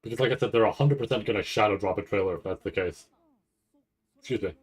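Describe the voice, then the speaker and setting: A man's voice: fairly low pitch, quick pace, breathy edge. A man hosting a casual livestream, rambling and thinking aloud in loose, sometimes profane language. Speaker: livestream host reacting to anime event. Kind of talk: casual live stream chat.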